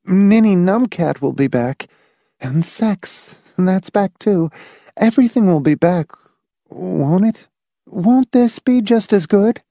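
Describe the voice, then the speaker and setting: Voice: mid-range voice, animated, unhurried, mellow, no echo, studio quality, voice pushed up. A narrator voicing an anxious, pleading wife in an audiobook, with shaky, insistent questioning.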